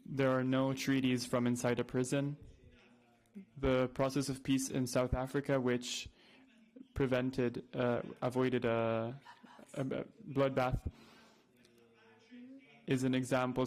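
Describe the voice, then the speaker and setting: Male activist speaker, earnest and halting, little pitch movement. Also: level tone, a little mellow. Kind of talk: conference speech.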